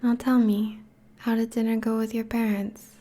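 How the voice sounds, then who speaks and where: A woman playing a teasing, affectionate vampire girlfriend in an intimate ASMR roleplay, speaking softly in a breathy, whispery voice. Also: a little high, flat delivery, moderate pace, natural voice. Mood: affectionate, playful. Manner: soft, teasing, languid.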